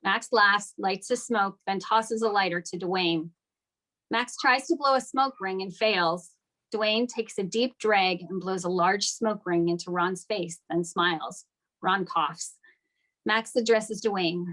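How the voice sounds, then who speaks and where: A woman's voice: medium pitch, slow pace, a bit bright, rough recording. A woman serves as narrator for a script table read. She reads stage directions aloud in a mid-range voice, as scripted narration.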